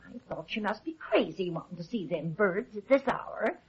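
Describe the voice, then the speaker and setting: An actress playing a fussy, scolding country farmer's wife in an old-time radio drama, flustered and exclaiming in folksy speech. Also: female voice, medium pitch, lively intonation, normal speed, slightly rough. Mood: flustered, scandalised. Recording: muffled lo-fi old radio recording.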